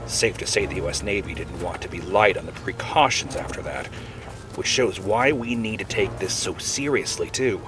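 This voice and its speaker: Man playing low-voiced veteran wildlife agent. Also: normal speed, lightly acted. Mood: serious. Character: older veteran male wildlife agent, steady and cautious. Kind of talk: audio drama dialogue. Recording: music under the voice. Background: background music.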